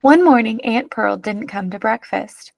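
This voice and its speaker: A woman reading a children's picture book aloud as an expressive narrator. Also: a little high, measured pace, vocal fry, no room sound.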